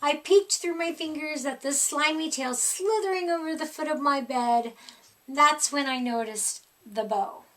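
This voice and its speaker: A woman reading a picture book aloud as expressive children's story narration. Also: high-pitched, a little slow, distant mic. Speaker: storybook reader.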